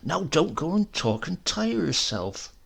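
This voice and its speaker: A man's voice: fairly high pitch, sing-song, a little slow, gravelly, a bit bright, husky, pitched above the performer's own voice, exaggerated voice. A man voicing a bossy female housekeeper in a story, scolding indignantly. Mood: fussing.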